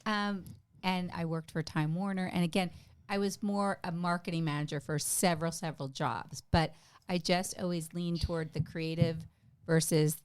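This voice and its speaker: A woman's voice: on the low side, fairly even intonation, moderate pace, clear, resonant tone, dry recording. Chatty middle-aged woman, dry sound. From United States. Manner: chatty, self-deprecating, many fillers. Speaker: podcast guest. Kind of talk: casual interview chat.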